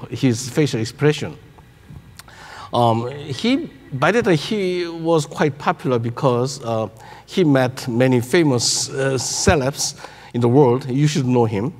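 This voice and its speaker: A man in his sixties with a Korean accent, giving a conference keynote in halting English, searching for words. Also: mid-range voice, darkish tone. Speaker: keynote speaker, robotics researcher. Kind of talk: conference presentation. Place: large conference hall on stage. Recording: echoey hall through PA.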